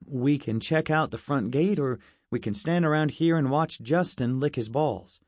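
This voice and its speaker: A man's voice: mid-range voice, moderate pace, a little mellow, no echo, natural voice. Guy doing a sarcastic boy hero, blunt, wisecracks, comic story, dry sound.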